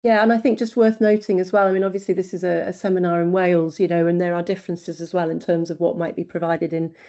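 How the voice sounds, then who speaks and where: A woman's voice: on the low side, little pitch movement, fast, warm tone. A woman researcher answering questions in an online webinar, earnest and thinking aloud, with plenty of fillers and restarts. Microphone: video call. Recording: dull lo-fi webinar audio.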